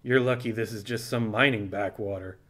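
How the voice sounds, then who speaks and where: Man as a gruff, exasperated crewman. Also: lowish voice, steady tone, normal speed, a bit bright. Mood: exasperated, resigned. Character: gruff, exasperated feathered crewman, grimly resigned. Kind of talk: audiobook character dialogue.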